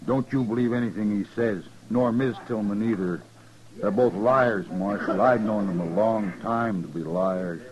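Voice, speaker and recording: A man's voice: on the low side, normal speed, a crowd in the background, lightly acted. An American man playing a stubborn, vengeful rural man in a 1950s radio western, with a folksy drawl. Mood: accusing. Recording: dull old radio recording.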